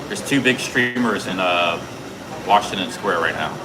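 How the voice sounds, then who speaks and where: Loud rambling streamer man, low voice. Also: normal speed. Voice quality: loud, carrying voice. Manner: rambling, many fillers and restarts. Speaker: livestream host. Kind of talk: casual chat while streaming. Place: city street outdoors. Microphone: streaming camera rig. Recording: clear street noise.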